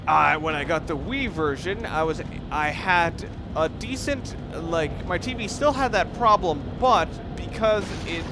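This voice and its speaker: A young man with a fairly high voice talks through a video game in a loose, rambling way, often stopping to correct himself. Clear background noise sits under his voice along with the game audio. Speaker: let's play commentator. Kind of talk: casual gameplay commentary.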